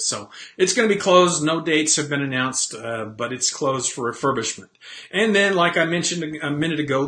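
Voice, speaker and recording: A man in his fifties hosting a casual, rambling webcam chat from his home office, often clearing his throat. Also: mid-range voice, bright, forceful tone. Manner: chatty, rambling, self-deprecating, frequent throat clearing. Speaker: host. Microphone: webcam at desk.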